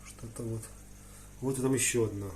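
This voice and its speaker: A man giving a casual, rambling hobby vlog in colloquial, slangy speech, thinking aloud and often clearing his throat between phrases. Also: mid-range voice, steady tone, fairly quick. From Ukraine. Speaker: hobby aquarium vlogger. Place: indoors in a room with aquariums. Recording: noticeable background noise.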